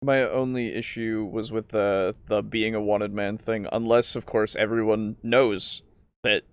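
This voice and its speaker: A man's voice: lowish voice, fairly even intonation, measured pace, clean tone. A man co-hosting a podcast in casual discussion, interjecting emphatically and often repeating himself. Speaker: podcast co-host.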